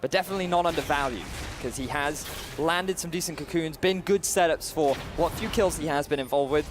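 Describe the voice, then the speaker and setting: A Welsh man calls the action as a color caster, his voice sitting high. He laughs easily and picks apart each play. Music plays clearly underneath. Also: a little fast. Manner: laughs often, excitable play analysis. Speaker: esports caster. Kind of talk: color commentary. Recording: music bed under commentary.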